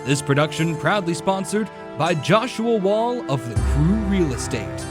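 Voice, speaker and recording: Man as lively old-time radio announcer. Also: on the high side, measured pace, over music. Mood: announcing. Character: eager, courteous male announcer visiting old Doctor Watson. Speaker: announcer and host.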